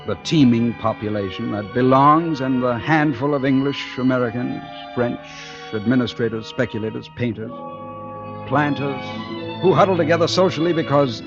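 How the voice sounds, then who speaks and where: An actor playing a brooding, fatalistic male painter who narrates his dark tale with moody, deliberate phrasing in old radio suspense style. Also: mid-range voice, natural voice. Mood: ominous. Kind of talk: 1940s-50s radio suspense acting, first-person narration. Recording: dull old radio recording, music behind.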